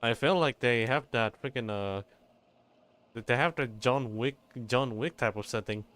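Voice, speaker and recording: A man's voice: mid-range voice, normal speed, a bit bright, clean tone. A man streaming a game, reacting casually with profane, rambling, stop-start comments. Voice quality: rambling, profane, stammering restarts.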